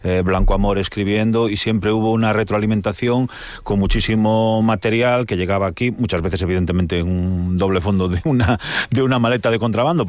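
Low-voiced publisher, dry sound. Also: male voice, dry recording. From Galicia. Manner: measured, explanatory, with hesitant restarts. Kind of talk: radio-style interview answers.

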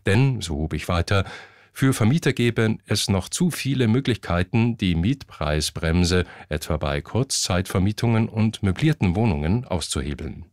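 Low-voiced male radio newsreader. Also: expressive, normal speed, darkish tone, no echo, studio quality. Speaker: radio news presenter. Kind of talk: scripted news bulletin.

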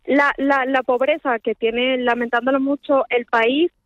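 A woman's voice: high-pitched, steady tone, measured pace, dry recording, muffled recording. A Venezuelan woman interviewed on the radio, speaking earnestly and repeating words as she searches for them. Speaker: guest, charity organizer.

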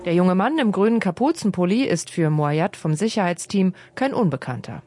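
A woman reporter narrating a radio feature in a steady, descriptive way. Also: lowish voice, moderate pace, slight background noise. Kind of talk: scripted news report narration.

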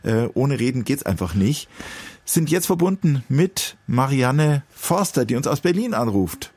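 A man's voice: mid-range voice, very animated, a little slow, a little mellow, slightly rough. A man hosting a live radio phone-in in a conversational, courteous and sympathetic way. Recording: faint background noise.

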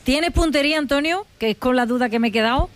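A woman's voice: high voice, fast. A woman with an accent from Spain co-hosting a radio show in casual, bantering chat. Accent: Spanish from Spain.

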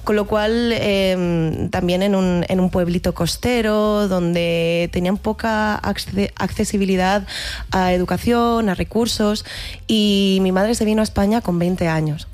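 A woman in her thirties with a mixed Catalan and Madrid Spanish accent, speaking reflectively as an interview guest, pausing to find her words. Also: medium pitch, fairly even intonation, darkish tone. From Barcelona, Spain. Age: 30s. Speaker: actress, guest. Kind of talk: reflective personal conversation.

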